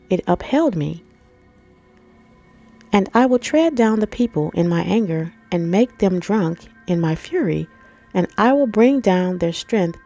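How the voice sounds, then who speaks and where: A woman's voice: fairly low pitch, dark tone. A woman with a stuffy nose from allergies, reading scripture and explaining it to viewers in a casual, conversational way. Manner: conversational, addresses viewers as family and guys. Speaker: Bible teacher and channel host. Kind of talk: scripture reading and informal Bible teaching.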